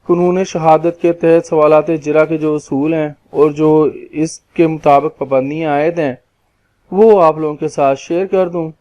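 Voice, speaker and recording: A man with a Pakistani accent explaining legal points as a lawyer, in a formal, deliberate lecture style that sometimes repeats phrases. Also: a little high, darkish tone. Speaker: lawyer giving legal lessons.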